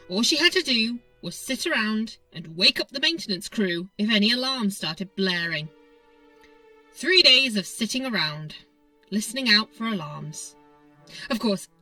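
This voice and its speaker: Expressive, bright-voiced woman narrating a story. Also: mid-range voice, recorded from a distance, rough recording. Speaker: audiobook narrator. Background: background music.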